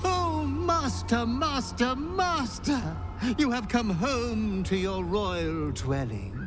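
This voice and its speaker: High-pitched fawning ghoulish manservant. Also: male voice, expressive, slow, over music, exaggerated voice. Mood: fawning delight. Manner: obsequious, theatrical, gleeful. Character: fawning, ghoulish male servant creature, gleefully devoted to master. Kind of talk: dramatic video game character acting.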